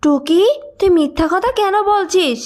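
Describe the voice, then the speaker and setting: A woman voicing an emphatic, fussing village mother, loving but quick to scold, in a cartoon. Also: very high voice, a little mellow. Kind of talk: cartoon voice acting.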